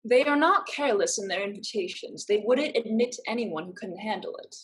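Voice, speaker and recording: A woman's voice: medium pitch, lively intonation, fairly quick, close to an everyday voice, low-quality recording. A woman acting in a stage play reading as a proud, streetwise young woman who is protective of her sister. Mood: encouraging, firm.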